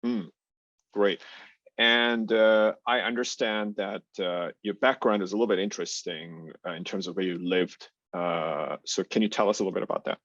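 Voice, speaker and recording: A man's voice: deep voice, steady tone, moderate pace, a bit bright, dry recording. A man interviewing a student guest, hesitant with frequent "uh" fillers. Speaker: interviewer host. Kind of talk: hosted interview. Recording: dry, dull lo-fi sound.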